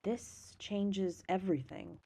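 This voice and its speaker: A woman playing a composed noblewoman councillor who speaks in a measured, matter-of-fact way. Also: medium pitch, fairly even intonation, creaky, dark tone, husky, exaggerated voice.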